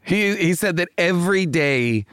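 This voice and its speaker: A man chatting about movies with a wry, rambling delivery. His voice is a bit high and swings up and down a lot, recorded dry and close. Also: expressive, unhurried, fairly bright tone, clean tone, dry recording. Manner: rambling, full of fillers and restarts, wry. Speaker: podcast co-host. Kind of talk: casual movie discussion.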